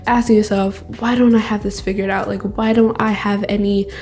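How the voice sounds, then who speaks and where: A woman's voice: medium pitch, little pitch movement, a little fast, darkish tone. Candid teenage girl co-host rambling. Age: teens. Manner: candid, rambling, laughs often. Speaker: podcast co-host. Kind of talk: casual solo chat.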